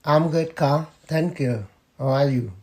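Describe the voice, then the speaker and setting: A man, answering family interview questions in slow, measured phrases with pauses. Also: a little high, darkish tone. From Vietnam. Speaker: interviewee, father.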